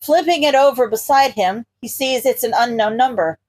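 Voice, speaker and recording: A woman's voice: fairly high pitch, normal speed, darkish tone, poor audio. A woman reading a scripted story aloud as a narrator. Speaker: podfic reader.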